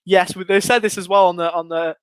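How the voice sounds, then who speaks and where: Excitable young English man, high voice. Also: a little fast, smooth, no echo. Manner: excitable, chatty, reads out viewer comments. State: losing his voice. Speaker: fan watchalong host and commentator. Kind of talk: live watchalong commentary and chat.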